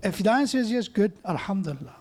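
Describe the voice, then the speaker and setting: A man's voice: high voice, animated, fast talker, darkish tone. A man preaching a sermon in a mosque, conversational, with joking asides and frequent restarts.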